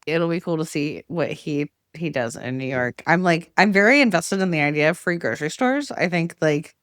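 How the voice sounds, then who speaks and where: A woman's voice: low-pitched, animated, normal speed, fairly bright tone, no echo. Enthusiastic informal woman, dry close recording. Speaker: political podcast panelist. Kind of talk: casual political chat.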